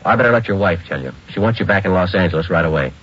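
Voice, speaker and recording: An actor playing a terse police detective sergeant who narrates in a clipped, matter-of-fact way, in a 1940s radio drama. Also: male voice, sits low, expressive, rapid-fire, breathy edge, highs cut off. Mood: grave. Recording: hissy old radio broadcast.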